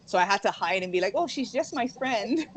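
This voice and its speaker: A woman's voice: fairly high pitch, animated, brisk, a little hiss or hum. A young woman from Hawaii, a guest in a casual interview, who laughs often and fills her talk with "like". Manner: laughs often, says "like" a lot.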